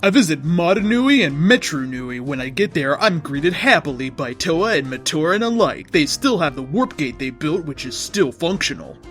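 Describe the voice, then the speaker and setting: Higher-voiced man reading a story aloud over music. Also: sing-song, moderate pace, few pauses, fairly bright tone. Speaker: host reading fan fiction aloud. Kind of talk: dramatic scripted story reading.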